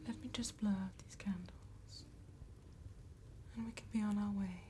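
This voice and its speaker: A courteous vampire played by a woman speaks in a soft, whispery ASMR roleplay. Her delivery is very slow and dark-toned, with old-fashioned politeness hiding a predatory streak. Faint noise and ambience sit behind the voice. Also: mid-range voice, steady tone, lightly acted. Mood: quietly menacing. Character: courteous, sly female vampire tattooist, gothic and predatory.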